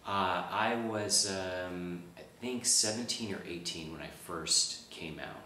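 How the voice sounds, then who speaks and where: Deep, bright-toned man in his forties. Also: slow, reverberant, recorded from a distance, a little hiss or hum. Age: 40s. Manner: hesitant, thinking aloud. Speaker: interviewee. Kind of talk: documentary interview.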